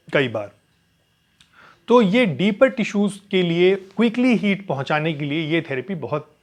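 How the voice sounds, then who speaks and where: A man presenting an explanatory health tutorial as a physiotherapist, in a didactic way, repeating phrases for emphasis. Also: fairly high pitch.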